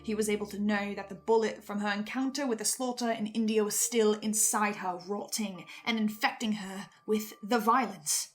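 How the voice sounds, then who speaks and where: A woman giving reflective recap commentary, earnest and musing, often in short halting phrases. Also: mid-range voice, steady tone, faint music behind. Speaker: fan commentator playing a researcher.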